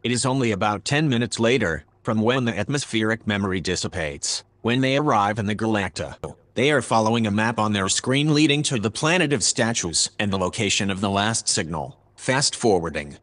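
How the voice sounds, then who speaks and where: A man playing a calm, formal artificial intelligence, reporting in a measured, dispassionate way in a sci-fi audio drama. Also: fairly low pitch, natural voice. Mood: detached.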